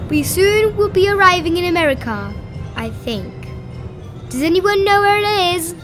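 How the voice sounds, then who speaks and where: A woman's voice: extremely high pitch, lively intonation, moderate pace, music in the background, pitched above the performer's own voice. A woman voicing a chirpy, scatterbrained female rabbit airline captain in a children's storybook. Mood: chirpy, unsure. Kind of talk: animated children's storybook read-aloud.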